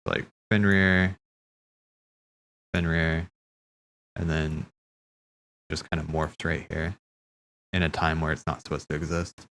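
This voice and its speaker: A man's voice: rumbling low, little pitch movement, slow, pauses often, a bit bright, slight background noise, poor audio. A man giving casual watch-party commentary on game cutscenes, thinking aloud in a musing, hesitant way. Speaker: streamer reacting to game cutscenes.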